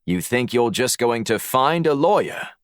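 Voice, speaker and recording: A man's voice: mid-range voice, sing-song, unhurried, smooth, no room sound. Stern policeman, read by a male narrator, formal and unamused, varied intonation, dry sound. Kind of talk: audiobook character dialogue.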